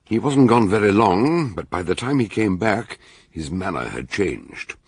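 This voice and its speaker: A grave, ponderous detective in a radio murder mystery. His low voice is rough and very husky, and he tells the case with deliberate, dramatic swings of pitch. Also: very animated, no room sound, studio quality, full character voice. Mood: grave narration. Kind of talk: radio mystery drama with first-person narration.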